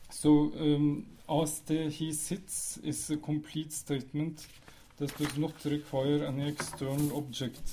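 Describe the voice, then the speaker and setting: Man, Norwegian native, measured lecturer, mellow tone, slightly high voice. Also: poor audio. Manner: measured, explanatory, with pauses and fillers. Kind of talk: academic lecture.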